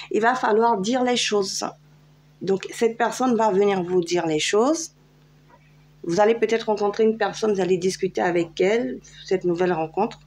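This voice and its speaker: A woman's voice: mid-range voice, darkish tone, slight background noise. A French woman giving a casual tarot reading, chatty and hesitant, with many fillers. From France. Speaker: tarot card reader. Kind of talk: casual tarot guidance video.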